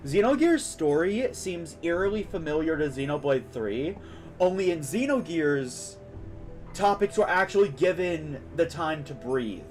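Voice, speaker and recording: A man's voice: a little high, big pitch swings, unhurried, faint music behind. A man giving casual, opinionated, rambling commentary on a live stream while he plays a video game.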